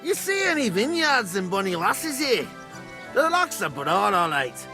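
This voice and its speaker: High, creaky Scottish nobleman. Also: big pitch swings, a little slow, full character voice. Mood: wry, chatty. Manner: wry, chatty. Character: breezy, roving young Moravian nobleman, man. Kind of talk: video game dialogue acting. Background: music.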